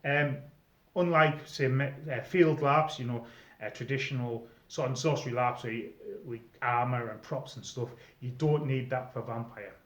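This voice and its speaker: A man's voice: mid-range voice, normal speed. A British man hosts an informal advice video, talking in a chatty, explanatory way. He checks in with his listener as he goes. The sound is echoey and a little dull, as if recorded in a bare room. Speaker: video host, experienced live role-player. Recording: roomy echo, slightly dull sound.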